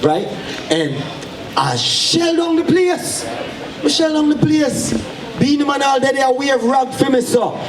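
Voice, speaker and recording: A man's voice: very high-pitched, measured pace, crowd noise. A man in his forties with a Jamaican accent mixed with New York, telling a story on stage and switching into patois. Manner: animated, switches into patois for quoted voices. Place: live event stage. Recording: roomy venue sound from far off.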